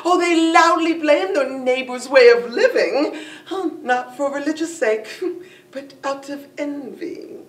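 A woman's voice: sits high, a little slow. A woman acting an arch, gossiping comic lady in a theatrical stage monologue, laughing mockingly through her words. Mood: gleeful mockery. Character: gossiping, mocking woman sneering at a prudish aging coquette. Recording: echoey room, distant mic.

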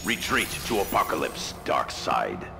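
Stern ninja master in a video game, gravelly icy commanding voice, formal and grim, music blaring behind. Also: male voice, extremely low pitch, sing-song, a little slow, heavily acted. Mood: stern warning. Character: stern, honorable masked ninja grandmaster with icy resolve. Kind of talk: video game fighter acting. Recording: loud music and effects behind.

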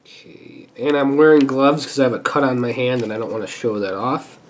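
A man's voice: medium pitch, brisk, slight background noise. A man who sounds stuffy from a sinus infection talks casually and thinks aloud while he works through a hands-on repair at a workbench. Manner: relaxed and chatty, thinking aloud while working. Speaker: repair channel host. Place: indoor workbench.

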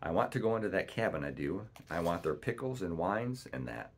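A man's voice: deep voice, lively intonation, brisk, a little hiss or hum. A man voicing a growling, impatient, mutinous pirate coxswain in a storybook, grumbling in rustic seafaring speech.

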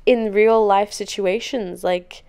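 Young woman with a creaky, clear voice. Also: medium pitch, steady tone, slow pace, dark tone. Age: 20s. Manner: chatty, candid, encouraging. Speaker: podcast host and dance teacher. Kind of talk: casual solo podcast advice talk. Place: living room sofa, indoors.

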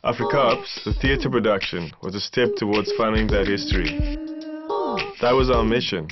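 A man narrating his own documentary in a measured, reflective voice-over. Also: fairly low pitch, very animated. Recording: muffled voice-over under music.

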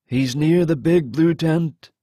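A man voicing a watchful female dragon in a fantasy audiobook, calm and deliberate. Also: fairly high pitch, no echo, clean studio sound.

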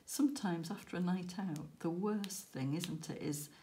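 A woman hosting a crafting vlog, croaky and tired after a late night, rambling and self-deprecating with frequent laughs. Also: fairly low pitch, moderate pace, mellow, slight background noise. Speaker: crafting channel host. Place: indoors at a crafting table.

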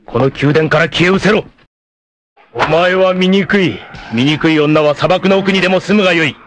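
An actor playing a vain, scheming, effete warlord villain in a cartoon, with a haughty, theatrical, word-savoring delivery. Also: male voice, fairly high pitch, moderate pace, fairly bright tone, a little hiss or hum, lightly acted. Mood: cold contempt. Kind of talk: theatrical anime villain acting.

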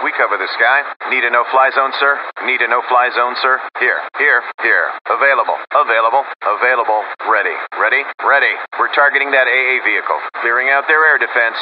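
An actor playing a dutiful anti-air vehicle soldier in a video game, reporting in clipped, formal military phrases over radio. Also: male voice, medium pitch, fairly quick, few pauses. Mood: businesslike, alert. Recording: muffled radio with loud vehicle noise.

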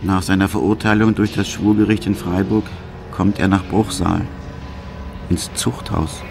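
A man's voice: low-pitched, steady tone, unhurried, creaky, music in the background. A man narrating a documentary from a script.

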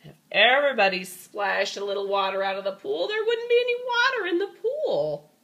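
A woman reading a storybook aloud to a child in an expressive, folksy, playful way with chatty asides. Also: a little high, moderate pace, highs cut off.

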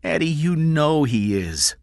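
A man's voice: on the high side, very animated, a little slow, darkish tone, smooth, dry recording, clean studio sound, voice pushed up. A man voicing a kind-hearted, distressed woman pleading in an audiobook thriller.

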